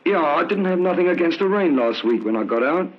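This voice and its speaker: An actor playing a sullen, defiant young ex-convict in an old radio drama, surly, evasive and slangy. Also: male voice, fairly high pitch, expressive, fairly quick, lightly acted. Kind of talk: 1950s radio drama acting. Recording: muffled old radio recording.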